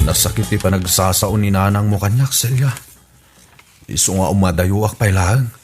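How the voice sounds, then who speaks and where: A man's voice: lowish voice, fairly even intonation, normal speed, soft background music. A man with an Ilocano accent acting in a radio drama, earnest and pleading. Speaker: voice actor in an audio comedy drama. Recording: radio drama recording with clear background noise.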